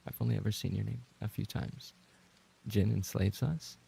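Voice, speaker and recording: A man's voice: fairly low pitch, fairly even intonation, normal speed, warm tone. A man chatting casually on a livestream, reading out viewer messages and reacting with playful mock indignation, swearing and repeating himself when flustered. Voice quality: gravelly, creaky. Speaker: livestream host and roleplay voice actor.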